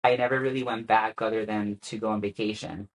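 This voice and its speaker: A man's voice: lowish voice, fairly quick. Young man telling his story. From Spain. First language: Spanish. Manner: relaxed, hesitant with frequent "uh" fillers and soft laughs. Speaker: guest. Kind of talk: casual interview chat.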